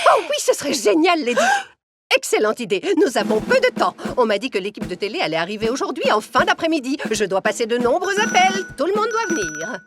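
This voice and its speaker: High, lively, theatrical cartoon organizer girl, bossy and gushing. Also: fairly quick, music in the background. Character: bossy, excitable young woman running a talent campus. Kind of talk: French cartoon dubbing.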